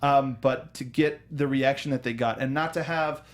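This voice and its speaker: Man, talk show host, mid-pitched voice, casual film discussion, halting and emphatic delivery. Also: fairly even intonation.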